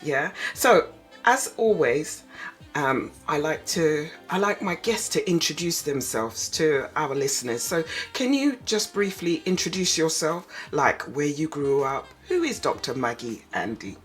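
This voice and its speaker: A woman's voice: low voice, faint music behind. A woman hosting a radio show, playful and teasing as she interviews a guest. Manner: slow, lively and playful, teasing.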